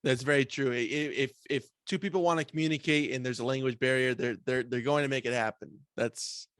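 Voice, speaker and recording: A man's voice: medium pitch, fairly even intonation, a little fast, a bit bright, no echo. A man hosting a podcast, asking questions in an easygoing way. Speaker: podcast host. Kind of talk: casual interview chat.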